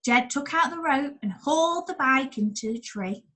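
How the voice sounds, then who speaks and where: A woman's voice: sits high, lively intonation, normal speed, pushed and bright. A woman reading a picture book aloud expressively for young children, as a school storyteller. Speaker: primary school staff storyteller.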